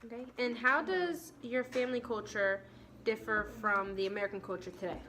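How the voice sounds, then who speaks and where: A woman runs a class interview with her friend. Her voice sits on the high side. She reads her questions plainly, as if from a script. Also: moderate pace, sharp tone. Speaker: student interviewer.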